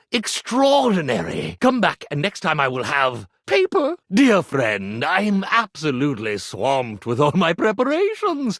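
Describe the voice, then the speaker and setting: A male actor voicing a grandiose, lovestruck magician who is a living iron in a dating game, with florid theatrical delivery and dramatic pauses. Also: sits high, fairly bright tone, no room sound, studio quality, exaggerated voice. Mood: busy, apologetic.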